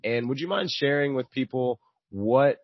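A man's voice: medium pitch, normal speed, dry recording, lo-fi sound. A man hosting an interview podcast, upbeat and enthusiastic, with frequent fillers. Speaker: podcast host.

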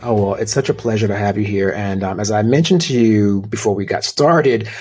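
A man hosting a podcast interview, bubbly and quick to laugh. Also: fairly low pitch, darkish tone, soft background music. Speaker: podcast host.

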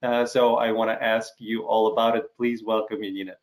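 Halting middle-aged man hosting an interview. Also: fairly low pitch, flat delivery. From Ukraine. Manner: halting, frequent fillers and restarts. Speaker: interview host. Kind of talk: casual video-call interview.

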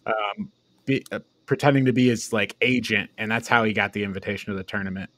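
A man's voice: lowish voice, steady tone, fast, a bit bright, no room sound. A man co-hosting a podcast, chatting casually through a film review. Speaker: podcast co-host.

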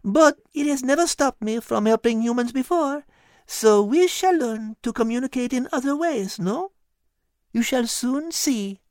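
A woman's voice: a little high, lively intonation, a little slow, no echo, voice pushed up. A woman voicing a wise, polite female cat who speaks with a French accent, reading a storybook. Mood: coaxing. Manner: French-accented, gently teaching.

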